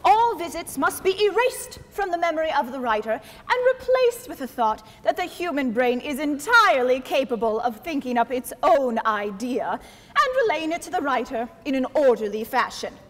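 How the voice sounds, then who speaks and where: A woman playing an officious, exasperated idea come to life, quoting rules in an indignant, theatrical way in a comic stage play. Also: sits high. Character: personified idea, officious, exasperated, rule-quoting female messenger. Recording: echoey stage hall, clear background noise.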